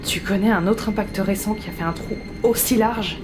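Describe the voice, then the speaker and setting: A woman's voice: medium pitch, normal speed, natural voice. An actress voicing a thoughtful young woman explorer who reasons aloud with some hesitation, in an audio drama over music. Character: Karen, a sharp, brooding young woman explorer.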